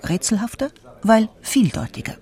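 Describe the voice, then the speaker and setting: Higher-voiced man reading quotations. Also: sing-song, moderate pace, a little mellow. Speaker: quote reader. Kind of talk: reading out literary criticism.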